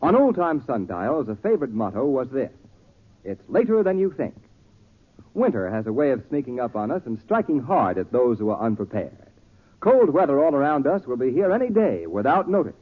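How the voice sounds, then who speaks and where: A man announcing a 1940s radio show and pitching its sponsor in an emphatic, declamatory scripted delivery. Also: sing-song, moderate pace. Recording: tinny, muddy 1940s radio recording.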